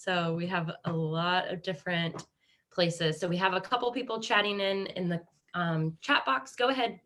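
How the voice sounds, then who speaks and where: A woman's voice: fairly low pitch, normal speed, fairly bright tone. A woman from Kansas with an American Midwest accent, hosting a live online panel over a video call in an upbeat, encouraging way. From Kansas, USA. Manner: upbeat and encouraging, with frequent fillers and restarts. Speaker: webinar host.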